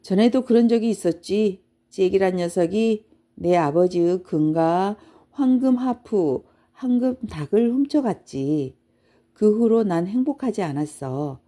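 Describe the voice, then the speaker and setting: A woman's voice: medium pitch, animated, a little slow, mellow, natural voice. Woman voicing a blustery, very old storybook giant. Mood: grumbling, wistful. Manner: blustery, exclaiming, grumbling. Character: very old toothless male giant, gruff, blustery, lonely. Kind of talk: children's storybook character voice.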